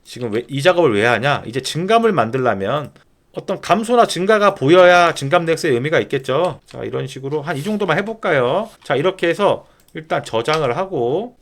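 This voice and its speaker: A man narrating a software tutorial step by step in informal polite speech, often posing a question and then answering it himself. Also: mid-range voice. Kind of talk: screen-recording tutorial narration, explaining step by step.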